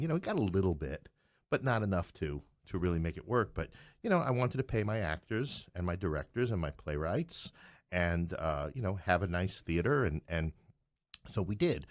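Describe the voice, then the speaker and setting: Deep-voiced wry man telling stories. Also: sing-song, normal speed, dry recording, studio quality. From New York City. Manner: wry, joking asides. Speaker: playwright and podcast guest. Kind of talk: conversational interview storytelling.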